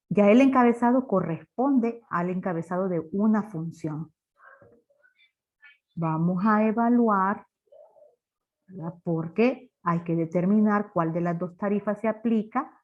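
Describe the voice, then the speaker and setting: A woman's voice: mid-range voice, a little mellow. A woman teaching a programming class step by step, asking questions as she explains. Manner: didactic, asks rhetorical questions. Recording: dull lo-fi online class audio.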